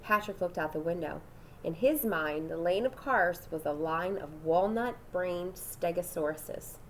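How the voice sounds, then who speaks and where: A woman's voice: fairly low pitch, unhurried, a little hiss or hum. A woman reading a children's storybook aloud dramatically, with asides and questions to her young listeners. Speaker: storybook reader.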